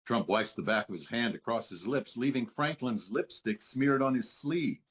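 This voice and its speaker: A man's voice: medium pitch, moderate pace, muffled recording. A man reading stage directions as scripted narration for a live table read.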